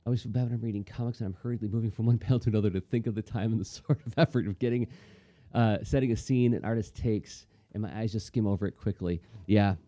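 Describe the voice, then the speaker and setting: A man's voice: on the low side, steady tone, fast, mellow, no echo, soft background music. A man in casual, reflective chat, talking in a thoughtful, rambling, conversational way as an artist hosting a livestream.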